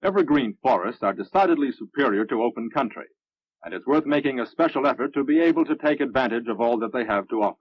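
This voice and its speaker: An American man reading scripted narration for a military instructional film in a measured, instructive manner. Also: mid-range voice, expressive, no echo. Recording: muffled, dry vintage film soundtrack.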